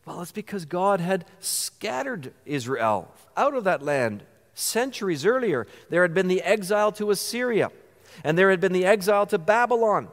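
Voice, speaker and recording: Man, pastor, earnest sermon, rhetorical questions, somewhat high voice, church reverb. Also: sing-song, moderate pace. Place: church sanctuary.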